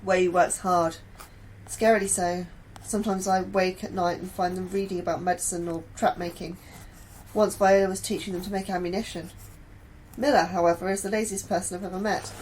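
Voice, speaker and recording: A woman's voice: on the low side, steady tone, fairly quick, a little mellow, lightly acted, poor audio. A woman playing an anxious, conscientious astrobiologist narrating her diary in an audio drama, with faint noise. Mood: wry.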